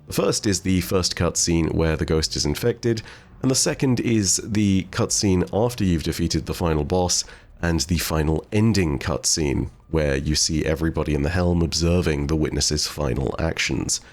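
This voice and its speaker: A man's voice: low voice, normal speed. A man hosting a lore channel, giving casual explanatory commentary on a video game's story. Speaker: host of a game lore channel.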